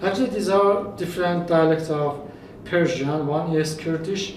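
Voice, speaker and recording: A man from Iran whose first language is Azerbaijani Turkish, a professor answering interview questions in a measured way with frequent fillers. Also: fairly high pitch. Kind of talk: conversational interview. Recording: echoey room, mic at a distance, faint noise.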